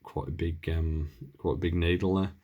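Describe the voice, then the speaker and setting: Low-voiced man, rambling through a demo. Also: fairly even intonation, moderate pace, mellow, highs cut off. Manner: rambling, hesitant, thinking aloud while handling gear. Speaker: hobbyist presenter reviewing radio equipment. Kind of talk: casual hands-on demonstration, unscripted.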